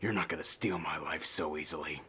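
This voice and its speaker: Casting: a menacing comic-book villain, very raspy growl, low register, slow cold threats. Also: male voice, fairly even intonation, sharp tone, full character voice. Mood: cold menace.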